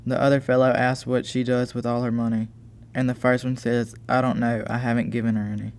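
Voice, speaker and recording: A teenage boy in an amateur school radio play, voicing a flustered, chatty gentleman husband with a creaky voice and a stammer. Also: medium pitch, level tone, a little fast, natural voice. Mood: chatty, amused. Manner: stammering, talkative, eager to please. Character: flustered, chatty, joke-telling English gentleman husband and master.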